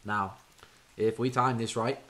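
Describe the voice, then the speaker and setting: An actor playing a confident federal agent in an old time radio drama, brisk and authoritative. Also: male voice, mid-range voice, fairly even intonation, a bit bright, lightly acted. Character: confident male federal agent leading a task force. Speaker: Agent Jack Brewster.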